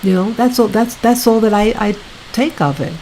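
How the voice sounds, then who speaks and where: A woman hosting her own video channel, talking casually to her viewers in a soft, soothing voice, halting often and repeating herself. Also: on the high side, a little hiss or hum. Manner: slow, repetitive, halting with many restarts. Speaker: YouTube channel host.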